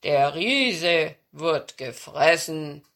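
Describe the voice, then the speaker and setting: A big brown storybook bear performed by a woman, lowered and gravelly. He grumbles lazily, drawing out each word, gruff but easygoing. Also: lively intonation, a bit bright, exaggerated voice. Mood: agreeable, gruff. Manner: growly grumbling, drawn-out words.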